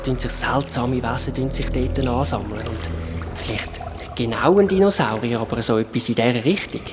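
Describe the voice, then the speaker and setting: A man's voice: medium pitch, moderate pace, music in the background, close to an everyday voice. A man with a Swiss German accent playing a friendly shape-shifting creature from another world who patiently explains things in a children's radio play. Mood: kind, explaining.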